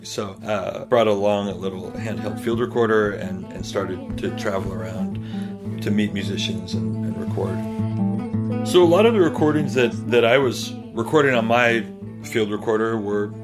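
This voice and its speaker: A man, a native English speaker, thinking aloud haltingly as he gives a reflective interview account. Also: on the low side. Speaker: interviewee, music archivist and field recordist. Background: guitar music.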